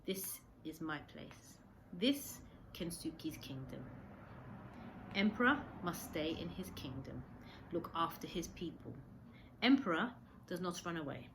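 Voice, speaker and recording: A woman voicing a gentle, dignified old Japanese hermit in a storybook, speaking halting broken English with earnest solemnity. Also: deep voice, animated, slight background noise, heavily acted, poor audio. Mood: solemn, resolute.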